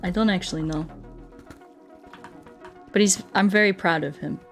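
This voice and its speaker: A woman chatting casually and telling stories as a streamer, with an expressive, playful delivery. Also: on the low side, moderate pace, soft background music. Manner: expressive, playful, acts out quoted dialogue. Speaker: game streamer chatting with viewers. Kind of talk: casual chat, storytelling.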